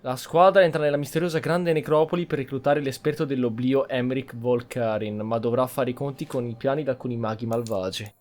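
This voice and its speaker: A man's voice: mid-range voice, steady tone, fast talker, few pauses, darkish tone. An Italian man streaming a game playthrough, reading on-screen text and commenting, with game sound behind him. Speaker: gaming streamer. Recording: clear background game noise. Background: video game sound.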